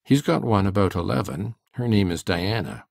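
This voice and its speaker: A man playing a shy, plain-spoken older farmer in a dramatic audiobook, with a husky voice and a folksy, hesitant manner. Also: lowish voice, lively intonation, normal speed, darkish tone, no room sound, clean studio sound. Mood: matter-of-fact.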